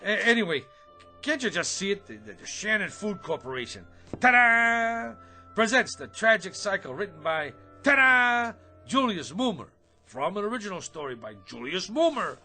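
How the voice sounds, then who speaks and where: A man's voice: sits high, big pitch swings, moderate pace, bright, forceful tone, soft background music, full character voice. An actor playing a brash, self-important hack writer in a comedic radio drama, slangy, boastful and stammering as he wheedles. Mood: excited bragging.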